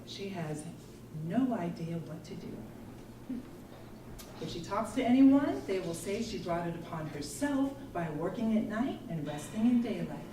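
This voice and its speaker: A woman reading a literary story aloud in a measured, theatrical way at a live performance. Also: medium pitch, animated, background noise. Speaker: story reader. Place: live performance in an arts venue. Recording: roomy live venue, distant mic, music behind. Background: loud live guitar music.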